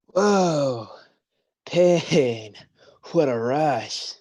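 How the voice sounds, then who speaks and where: A dramatic sea god, played by a man, husky and slow, stretching out exclamations in a dazed way. It is the loyal brother figure of a staged play reading. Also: fairly high pitch, expressive, darkish tone, full character voice.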